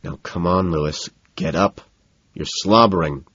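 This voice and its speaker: Man playing a low, hushed, menacing man. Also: a little slow, breathy edge, no room sound, dull audio, heavily acted. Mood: strained impatience. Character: cold, menacing, exasperated narrator-protagonist, threatening under his breath.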